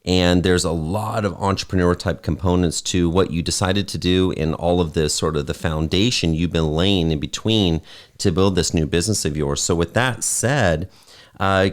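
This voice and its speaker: A man hosting a business podcast, asking questions in a friendly, measured way with frequent uhs. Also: sits low. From California. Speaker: podcast host. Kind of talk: interview hosting.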